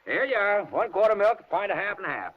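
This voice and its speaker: A man's voice: high-pitched, big pitch swings, fast. A man playing a friendly, folksy milkman with an American accent in 1950s radio drama, polite and chatty. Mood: cheerful. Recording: slightly noisy muffled vintage radio recording.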